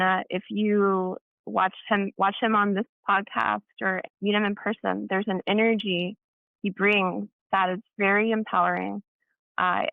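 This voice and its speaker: A woman hosts a conversational podcast interview. Her voice has a noticeable creak and fry. The recording is dry and close, with a thin, phone-like sound that cuts off the top and bottom. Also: mid-range voice, no echo. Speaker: podcast host.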